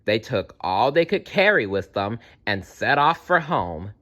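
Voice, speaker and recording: Animated male storyteller with a bright voice. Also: medium pitch, a little slow. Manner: animated, playful, reacts to the story. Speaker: storyteller reading aloud. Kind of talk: expressive fairy-tale read-aloud with asides.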